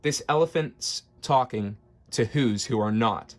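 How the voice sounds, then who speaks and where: A man voicing bossy, mocking jungle monkey brothers in a storybook, loud and scornful. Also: medium pitch, measured pace, soft background music, lightly acted.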